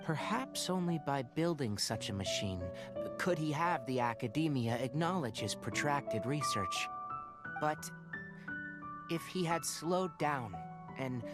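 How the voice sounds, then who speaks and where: An actor playing a calm, analytical young male scholar, explaining things thoughtfully in video game dialogue. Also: on the high side, a little slow. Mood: thoughtful, sober. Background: music.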